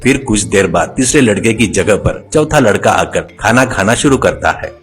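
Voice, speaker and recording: A man's voice: fairly low pitch, expressive, moderate pace, faint music behind. A man telling a comic story as its narrator.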